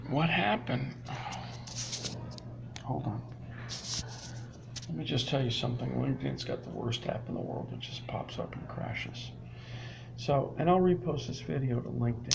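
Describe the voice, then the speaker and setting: A man's voice: mid-range voice, vocal fry, noisy background. A man rambling through a live stream from inside a public library, full of restarts and asides. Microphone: phone or laptop camera.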